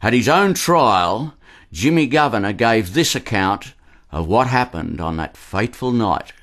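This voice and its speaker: A man with an Australian accent reading a scripted historical narration for radio in a measured storytelling manner. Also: fairly low pitch, expressive, a bit bright.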